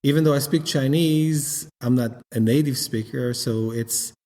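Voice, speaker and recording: Halting Israeli-born winemaker in reverb. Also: male voice, mid-range voice, darkish tone. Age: 50s. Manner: halting, repeats words while searching for them. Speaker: winemaker guest. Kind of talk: casual interview. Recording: long hall reverb.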